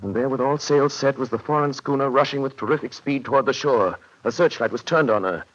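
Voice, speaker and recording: A man acting as a solemn narrator in a 1930s radio drama, speaking in a grave, measured, dramatic way. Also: medium pitch, fairly bright tone, close to an everyday voice. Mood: grave, suspenseful. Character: solemn male narrator reporting a shipwreck. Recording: dull lo-fi 1930s radio recording.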